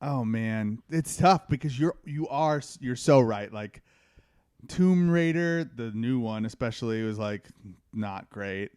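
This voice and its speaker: 30s guy on a podcast, slangy, exclaims a lot, mid pitch, dry recording. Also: lively intonation, unhurried, a little mellow, clear, resonant tone, no room sound. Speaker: podcast host. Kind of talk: casual chat.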